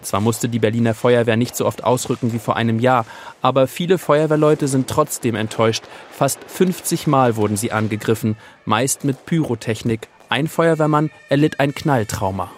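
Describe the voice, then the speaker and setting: Male news narrator, mid pitch, faint outdoor noise. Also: moderate pace. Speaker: news reporter.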